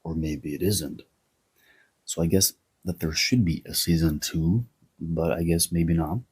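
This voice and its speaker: A man's voice: deep voice, steady tone, measured pace, darkish tone, slightly rough. A man hosting a history video, giving a sarcastic, opinionated commentary with wry asides. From Greece.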